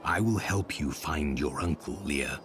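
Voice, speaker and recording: A man's voice: extremely low pitch, measured pace, fairly bright tone, faint music behind. An actor playing a stern, stoic male warrior hero in a fantasy game, speaking in terse, solemn, formal phrases. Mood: stern, resolute. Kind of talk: dramatic fantasy game acting.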